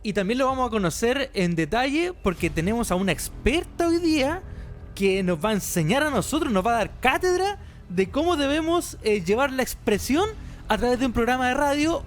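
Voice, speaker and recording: A woman's voice: fairly high pitch, sing-song, fairly quick. A woman co-hosting a radio show in conversational banter. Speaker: co-host.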